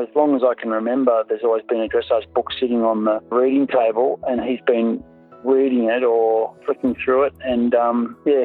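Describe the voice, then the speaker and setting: A man's voice: medium pitch, slightly rough. Australian man over a tinny line. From North Coast of New South Wales, Australia. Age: 40s. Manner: rambling, easygoing, many ums and restarts. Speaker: guest, dressage rider and coach. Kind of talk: relaxed interview chat. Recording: tinny phone-like line with faint music.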